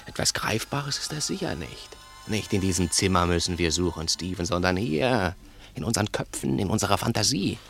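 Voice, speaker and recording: A male actor playing a cool, calculating, sardonic crook with a deliberate, condescending manner in a 1960s German radio play. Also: deep voice, lively intonation, gravelly, fairly bright tone, soft background music, heavily acted. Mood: brooding.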